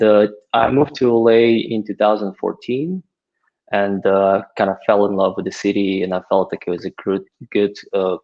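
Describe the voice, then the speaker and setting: Man in his thirties, gravelly and hesitant, muffled audio; podcast guest. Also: low-pitched, darkish tone. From Ukraine. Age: 30s. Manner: hesitant, many filler words. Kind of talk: casual interview answers. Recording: dull lo-fi audio.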